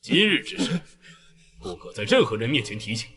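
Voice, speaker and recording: An actor playing a stern, coldly mocking emperor in a costume drama. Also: male voice, mid-range voice, big pitch swings, a little fast, bright, forceful tone. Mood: stern, commanding.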